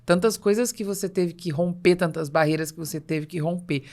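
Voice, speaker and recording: A Brazilian woman speaks as a podcast host in a somewhat low register. She is conversational and articulate, framing questions and explaining ideas in an affirming interviewer's manner. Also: fairly quick, a little mellow. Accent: Brazilian Portuguese.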